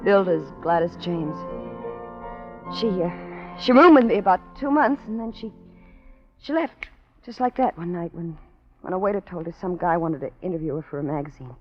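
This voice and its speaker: An actress playing an anxious, streetwise young woman on the run in a 1940s radio crime drama, slangy and halting. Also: on the low side, animated, faint music behind, natural voice. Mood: guarded, earnest. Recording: dull, lo-fi 1940s radio recording.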